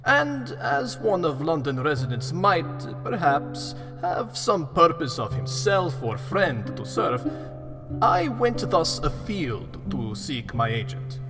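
An actor playing a courteous, commanding old vampire count in a radio play, speaking formally with deliberate, halting phrasing. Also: male voice, on the high side, sing-song. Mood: courteous, calculating. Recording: long hall-like reverb, music underneath. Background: plucked guitar music.

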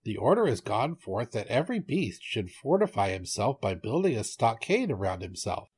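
A man's voice: fairly low pitch, sing-song, moderate pace, darkish tone, breathy edge, heavily acted. A man voicing a small, sly trickster rabbit in a storybook, speaking matter-of-factly as if innocent. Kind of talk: storybook character voice.

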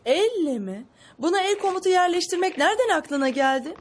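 A woman voicing a tough, no-nonsense young tech expert in a cartoon dub, brisk and matter-of-fact. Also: very high-pitched, animated, slight background noise. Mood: exasperated. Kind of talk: dubbed cartoon acting.

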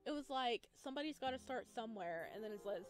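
A woman streaming a visual novel, reading the game text aloud and breaking in with expressive, exclaiming reactions. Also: fairly high pitch, fast, soft background music. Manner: expressive, exclaiming in bursts between read passages.

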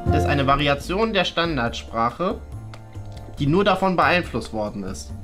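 Man doing a high-voiced nerdy schoolboy. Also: big pitch swings, unhurried, music in the background. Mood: pedantic. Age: young adult. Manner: hesitant, pedantic. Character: nerdy, flustered know-it-all schoolboy. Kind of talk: web comedy sketch acting.

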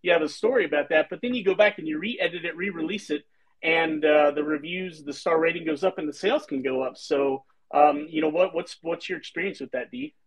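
A man in his thirties speaks over a webcam from a room at home. His voice sits a little high for a man, and he rambles with lots of fillers and restarts. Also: a little fast, rough recording. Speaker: host and publisher's editor. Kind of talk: casual video call panel chat. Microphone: video call webcam.

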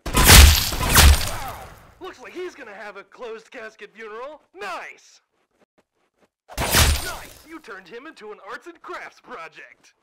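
A callous, sarcastic war criminal acted by a man mocks the fallen in a game voice pack. His pitch is high and swings wildly with cruel glee. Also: sing-song, slow pace, pauses often, very noisy, exaggerated voice. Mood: gleeful mockery. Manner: sarcastic, mocking. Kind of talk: video game announcer voice pack.

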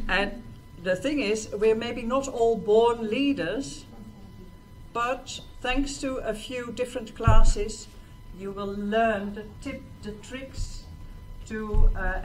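A middle-aged woman hosting an event, talking informally to an audience and putting questions to them. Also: on the high side. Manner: rhetorical questions to the listeners. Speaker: event host. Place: small stage in a presentation room. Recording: distant mic, clearly noisy room.

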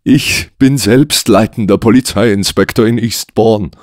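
A man voicing a sick, desperate police inspector who believes he is cursed, speaking in an urgent, halting manner for an audio story. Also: fairly low pitch, no echo, clean studio sound, voice pushed up. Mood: flustered.

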